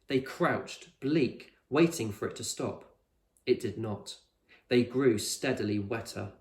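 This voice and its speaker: Male teacher-narrator, expressive children's storybook read, medium pitch, echoey room. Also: slow pace. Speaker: teacher reading a children's novel aloud.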